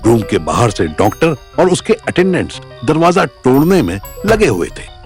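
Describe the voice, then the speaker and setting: A man's voice: mid-range voice, huge pitch swings, normal speed. A man narrating a scripted audio story in a dramatic storytelling style. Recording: music under the narration.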